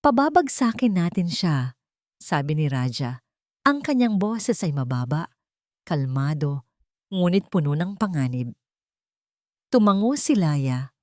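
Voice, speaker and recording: A woman's voice: sits low, very animated, unhurried, pauses often, darkish tone, no room sound, studio quality. A woman narrating a scripted romance story in a dramatic storytelling style.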